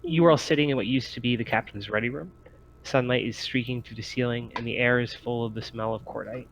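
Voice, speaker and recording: A man's voice: mid-range voice, steady tone, quick pace, a bit bright, slight background noise, rough recording. A man working as game master, narrating a sci-fi tabletop roleplaying game in descriptive, improvised storytelling.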